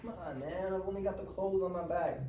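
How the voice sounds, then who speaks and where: A man's voice: sits high, a little fast, warm tone, close to an everyday voice. A man playing a cocky, slangy, foul-mouthed teenage boy who needles his buddy in a live comedy table read. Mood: pleading, earnest. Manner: slangy, taunting. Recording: roomy, distant mic, faint noise.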